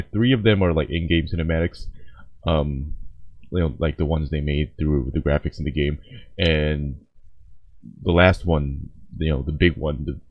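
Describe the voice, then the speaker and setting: A man chatting casually to viewers in a sleepy, halting way as he hosts a reaction video. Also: extremely low pitch, no echo, muffled recording. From lives in Korea. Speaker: host, reacting to game cinematics.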